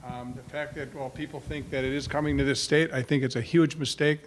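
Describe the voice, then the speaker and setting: A man's voice: mid-range voice, steady tone, a little fast, background noise. A man in a group discussion in a meeting room, hesitant and thinking aloud.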